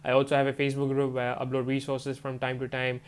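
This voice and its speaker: A man's voice: medium pitch, completely flat delivery, fast, smooth. A man, a manifestation coach, talking casually and rambling with lots of fillers, his voice stuffy from a cold. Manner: rambling, many fillers like "you know" and "um". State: congested from a stuffy nose and swollen throat. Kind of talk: casual talk to camera.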